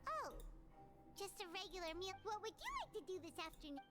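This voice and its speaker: Game fairy sidekick, extremely high squeaky voice, swooping intonation, whiny, nosy, childlike. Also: female voice, sing-song, a little fast, loud music behind the voice. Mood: chatty. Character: tiny floating fairy companion, girlish, whiny, chatty and nosy. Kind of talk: video game voice acting.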